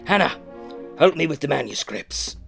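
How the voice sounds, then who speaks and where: A man's voice: on the high side, lively intonation, normal speed, vocal fry, loud music behind the voice, voice pushed up. A man voicing a frantic middle-aged male author in a dramatic script reading, with gasping, alarmed outbursts.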